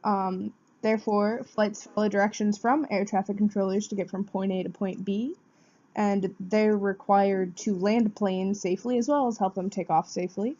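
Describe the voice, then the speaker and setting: A woman delivers a prepared student presentation in a soft-edged, dark-toned voice. She explains things step by step in a mid-range pitch. The recording is dull and lo-fi. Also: fairly even intonation, normal speed. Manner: explanatory, poses questions then answers them. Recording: dull, muffled lo-fi sound.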